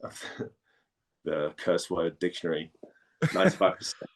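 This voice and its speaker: A man in a casual interview, telling stories in a chatty, halting way and often rephrasing as he goes. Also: low-pitched, flat delivery, creaky. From Denmark. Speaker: voice actor and model, interview guest.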